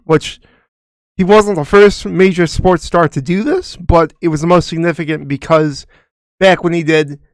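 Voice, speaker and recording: Earnest young man on a podcast, higher voice. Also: fairly even intonation, dry recording. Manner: earnest, halting with restarts. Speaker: podcast co-host. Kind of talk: casual chat.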